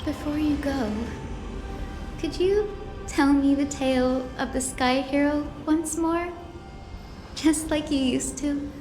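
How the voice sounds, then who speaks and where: A hopeful young healer speaks with gentle, earnest devotion in a fantasy game. Her high voice moves slowly and deliberately, with noticeable reverb around it. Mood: tender, pleading. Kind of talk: dramatic fantasy game acting. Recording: reverberant studio voice under music.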